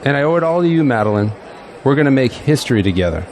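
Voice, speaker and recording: A man acting a middle-aged, self-assured city politician in an audio drama, folksy and grandiose, with dramatic pauses. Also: medium pitch, very animated, a little mellow, natural voice. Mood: businesslike, fond. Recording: echoey hall, faint crowd and noise.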